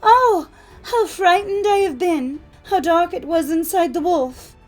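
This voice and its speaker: A woman's voice: on the high side, big pitch swings, unhurried, darkish tone, soft background music, voice pushed up. A woman voicing a trusting, innocent little girl in a fairytale audiobook, with a naive, earnest, polite manner. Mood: frightened, relieved.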